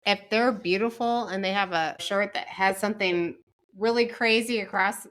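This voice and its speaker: A woman's voice: mid-range voice, moderate pace, fairly bright tone. A woman co-hosting a photography podcast, talking casually and giving firm opinions. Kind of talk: casual chat.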